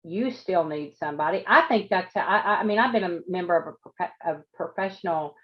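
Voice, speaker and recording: Woman host, lowish voice, supportive and rambling, lo-fi video call sound. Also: a little fast. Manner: encouraging, repeats words as she thinks. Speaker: business coach, host. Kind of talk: interview host, casual.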